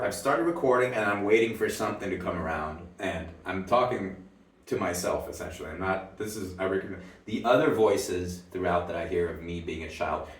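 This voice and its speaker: A man's voice: low-pitched, roomy sound, recorded from a distance. A man whose first language is Swedish, from Finland, casually and ramblingly explaining his old tape recordings, swearing and laughing at himself now and then. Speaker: musician and video creator.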